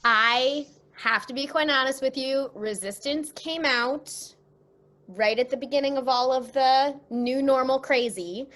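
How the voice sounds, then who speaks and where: A woman chatting casually as an interview guest, expressive and jokey. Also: high voice, a little slow. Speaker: voice actress guest.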